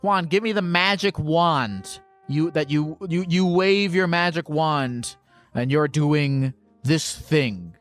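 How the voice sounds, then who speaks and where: A man's voice: on the high side, a bit bright, soft background music. Teasing, profane male call-in host. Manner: teasing, profane, quick interjections. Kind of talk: call-in show banter.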